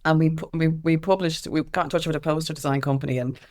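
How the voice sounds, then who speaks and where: An Irish woman being interviewed as a campaigner, speaking in an animated, anecdotal way, repeating phrases for emphasis and acting out quoted exchanges. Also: low-pitched, quick pace. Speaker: interview guest, music equality campaigner.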